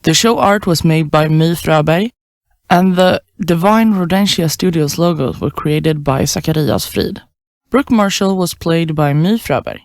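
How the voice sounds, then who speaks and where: A woman's voice: low-pitched, measured pace, darkish tone, dry recording. A woman reading scripted end credits as a podcast announcer.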